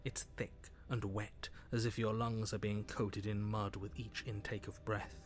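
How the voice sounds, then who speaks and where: An actor voicing the calm, wry narrator of a dark video game, speaking in a measured, faintly sardonic way. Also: male voice, fairly low pitch, soft background music, lightly acted.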